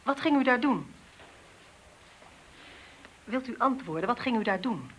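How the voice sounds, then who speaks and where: An actress playing a calm police inspector who asks persistent, probing questions in a radio play. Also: female voice, a little high, a little slow, lo-fi sound, slight background noise.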